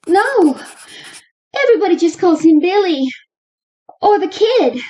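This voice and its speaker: A woman's voice: extremely high pitch, big pitch swings, unhurried, mellow, heavily acted. A woman acting an exasperated, fretful frontier mother with folksy rustic speech in a Western radio drama. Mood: matter-of-fact. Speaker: actor in an amateur radio drama.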